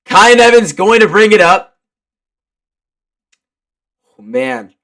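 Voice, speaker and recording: Congested guy calling a basketball game live, kinda high bright voice, gets hyped and casual. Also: slow. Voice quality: stuffy, congested. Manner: excitable, shouts on big plays. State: congested from a cold. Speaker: live play-by-play commentator and streamer.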